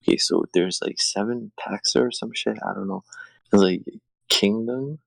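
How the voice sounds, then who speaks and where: A man's voice: on the low side, moderate pace, vocal fry, no room sound, rough recording. A young man hosting a crude comedy podcast over a call, rambling and swearing between laughs. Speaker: podcast host. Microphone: remote call over shaky wi-fi.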